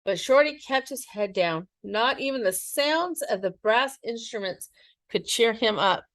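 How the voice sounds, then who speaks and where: Higher-pitched woman reading a children's story aloud. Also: animated, measured pace, fairly bright tone, dry recording. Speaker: teacher reading a picture book aloud. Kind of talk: expressive children's read-aloud.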